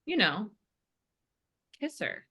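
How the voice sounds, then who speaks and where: A woman's voice: mid-range voice, sing-song, takes it slowly, sharp tone, husky, heavily acted. A woman reading the part of a sassy housemate at a table read, teasing and pointed as she eggs others on. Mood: prying. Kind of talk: informal script table read.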